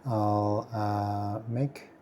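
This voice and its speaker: A man hosting a casual software tutorial, speaking quietly and thinking aloud with many fillers as he works. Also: fairly low pitch, little pitch movement, drawn-out words, a little hiss or hum. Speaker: video tutorial host. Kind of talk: casual screen-recording tutorial.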